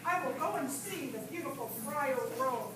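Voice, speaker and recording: Woman playing a high-voiced, earnest puppet prince. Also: animated, normal speed. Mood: bold. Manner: bold, declamatory. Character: brave, earnest young prince. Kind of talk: children's puppet show acting. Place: library event room, live show. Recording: distant, echoey, very noisy room.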